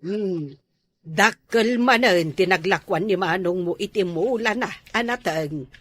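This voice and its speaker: A woman's voice: medium pitch, a little slow, a bit bright. A woman with a Filipino Ilocano accent playing a doubting village mother of a grown son in an amateur comedy drama. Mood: skeptical, hopeful.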